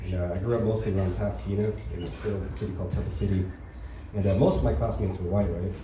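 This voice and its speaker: Young male comic, quick crude club set, lowish voice, echoey distant room with noise. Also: fairly even intonation, dark tone. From Los Angeles area. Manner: casual, crude, lots of filler tags like "you know" and "right". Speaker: stand-up comedian. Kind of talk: open mic stand-up set. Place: comedy club stage, heard from far off.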